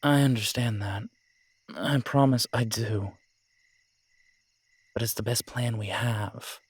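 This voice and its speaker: Man playing calm, measured werewolf leader, dry sound. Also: mid-range voice, breathy edge, no room sound. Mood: reassuring, planning. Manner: measured, reassuring, matter-of-fact. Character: calm, determined male werewolf pack leader, pragmatic protector. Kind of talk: audio roleplay acting.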